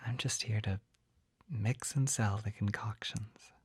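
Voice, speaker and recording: An actor voicing a shy, flustered, gentle poison-selling apothecary in a soft, breathy, hesitant whisper for an ASMR roleplay. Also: mid-range voice, fairly even intonation, no echo. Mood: gentle, helpful. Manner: hesitant, halting, apologetic, easily embarrassed.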